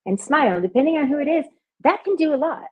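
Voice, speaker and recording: A woman working as a hypnotist, talking in an interview with a soft, soothing voice. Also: high-pitched, lively intonation, fast, low-quality recording. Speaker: hypnotist and party performer. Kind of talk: conversational interview answers.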